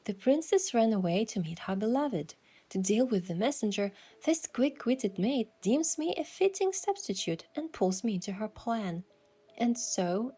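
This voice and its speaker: A woman's voice: medium pitch, warm tone, slightly rough. A woman giving scripted first-person narration of an adventure story as its protagonist.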